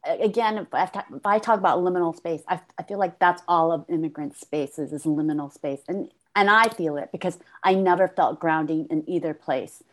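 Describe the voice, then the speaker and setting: Korea-born woman, guest on a discussion panel, hesitant and searching delivery. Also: fairly low pitch. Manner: thoughtful, halting, frequent restarts. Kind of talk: reflective conversation.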